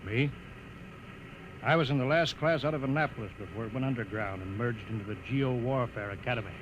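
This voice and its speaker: An actor playing a reflective, idealistic naval officer in a measured, wistful 1950s radio drama style. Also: male voice, medium pitch, natural voice. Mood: resigned, reflective. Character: reflective, idealistic naval officer aboard a doomed underground cruiser. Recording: noisy old 1950s radio recording.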